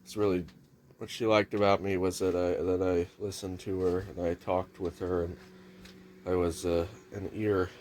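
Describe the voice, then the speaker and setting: A man's voice: sits low, little pitch movement, normal speed, darkish tone, a little hiss or hum. A man with a nasal voice talking in a rambling, casual vlog monologue at home, restarting often and swearing casually. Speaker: vlogger. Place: home kitchen near a microwave.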